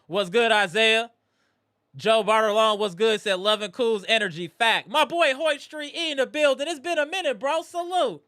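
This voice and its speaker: A man hosting a live postgame basketball reaction stream, animated and greeting viewers in the chat, his voice strained from yelling. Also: very high voice, moderate pace, dry recording, clean studio sound. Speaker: livestream host.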